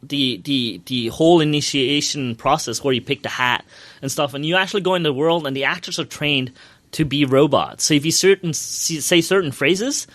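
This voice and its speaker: A man's voice: on the high side, normal speed, fairly bright tone, dry recording. A man chatting casually as a podcast co-host, enthusiastically recounting an experience in a rambling way, often repeating his words.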